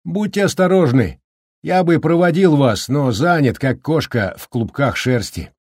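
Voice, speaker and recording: A cheerful middle-aged snowplow driver, put on by a man reading a storybook, pitched well above the reader's own voice and louder than him. He speaks in a brisk, folksy, joking way, with a husky edge carried over from the reader, on a dry close recording. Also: lively intonation, dry recording. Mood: cheery, chatty.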